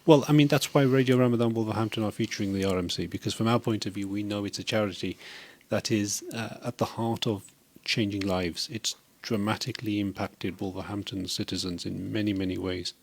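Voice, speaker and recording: A man's voice: fairly low pitch, steady tone, brisk, gravelly, slight background noise. A man hosting a community radio interview, upbeat and encouraging, asking the guest questions and praising his answers. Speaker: radio host.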